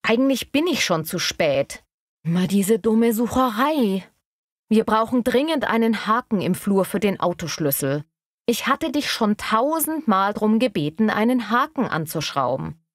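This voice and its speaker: A woman's voice: mid-range voice, very animated, a little slow, no echo, studio quality. A woman playing a flustered, irritated wife in an acted language-learning dialogue. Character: flustered, irritated wife hunting for lost keys.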